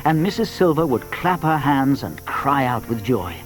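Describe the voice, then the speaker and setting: A man narrating a children's story in an expressive, dramatic storytelling manner. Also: medium pitch, moderate pace, soft background music, low-quality recording.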